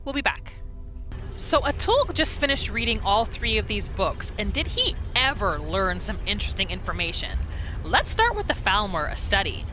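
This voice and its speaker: Female gaming host, mid-pitched and very expressive, excited conversational storyteller over background music. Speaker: host of a gaming video series. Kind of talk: lively narrated roleplay let's play.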